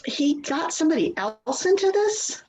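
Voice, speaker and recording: Worried, reproachful daughter played by a woman: highish, very animated pitch, noisy recording. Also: moderate pace. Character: anxious, hurt grown daughter, worried and reproachful. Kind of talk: play reading, dramatic dialogue.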